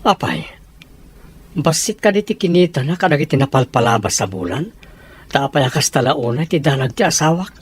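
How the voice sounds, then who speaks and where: A man's voice: on the high side, sing-song, normal speed, slight background noise. A man playing a worried, downhearted husband and father in an audio drama, speaking humbly and plaintively. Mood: humble, resigned.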